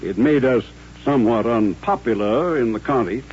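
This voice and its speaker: A man plays a dignified colonel of middle age in an old radio drama. He speaks formally and courteously, at a middling pitch. The recording is muffled, with a faint hum. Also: lively intonation, moderate pace, a little mellow, natural voice. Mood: grave, dignified. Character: courtly, dignified older Southern colonel turned rancher.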